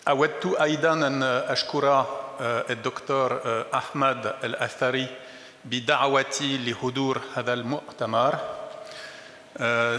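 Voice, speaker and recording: A man giving a conference presentation, speaking in a hesitant, halting way. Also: medium pitch, bright, forceful tone. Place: large conference hall. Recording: echoey hall, background noise.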